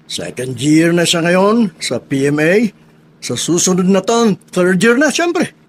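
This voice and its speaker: A man's voice: high voice, big pitch swings, heavily acted. A man with a Filipino accent playing a strict, proud retired army colonel in a radio drama, speaking emphatically. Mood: proud, authoritative. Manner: emphatic, deliberate, repeats words.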